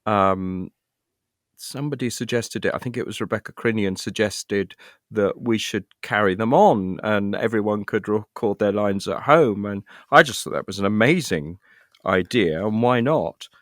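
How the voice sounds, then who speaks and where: A man's voice: on the low side, normal speed, dry recording, studio quality. A British man chatting casually as an interview guest, rambling a little with hesitant, self-deprecating asides. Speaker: interview guest, actor and writer.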